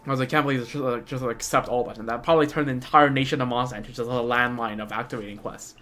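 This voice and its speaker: A man's voice: mid-range voice, fairly even intonation, rapid-fire, a bit bright. A young man giving casual live stream commentary on a game, rambling and thinking aloud. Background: game music.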